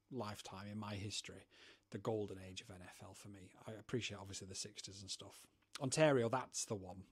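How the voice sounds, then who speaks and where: Man, husky voice, lowish pitch, rambling casual livestream chat, home studio. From United Kingdom. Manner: rambling, chatty, self-deprecating. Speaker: livestream host.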